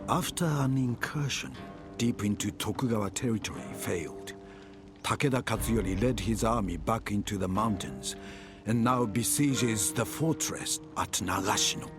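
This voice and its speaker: A man narrating samurai wars for a video game cutscene as a grave chronicler, in measured, dramatic storytelling. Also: lowish voice, animated. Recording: music under the narration. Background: cinematic music.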